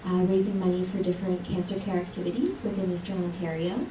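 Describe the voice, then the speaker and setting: A soft-spoken woman from a cancer charity giving a community presentation, hesitant, with many uhs. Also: fairly low pitch, fast talker, noisy background. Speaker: charity representative. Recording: distant mic in a roomy hall.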